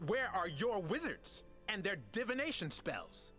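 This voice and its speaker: A reasonable, direct councillor from a fantasy game. His voice sits a little high and moves expressively. Faint music runs underneath. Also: very animated, unhurried, pushed and bright, full character voice. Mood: urgent. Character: earnest, reasonable male council member. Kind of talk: fantasy video game voice acting.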